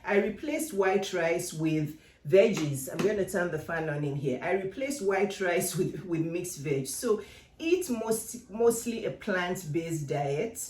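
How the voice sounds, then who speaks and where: A woman's voice: on the low side, darkish tone. A woman in her fifties from Nigeria, giving direct, encouraging motivational advice to viewers in a vlog. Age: 50s. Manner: direct and encouraging, addresses viewers, repeats key points. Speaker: women's life coach. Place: home workout room. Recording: echoey room.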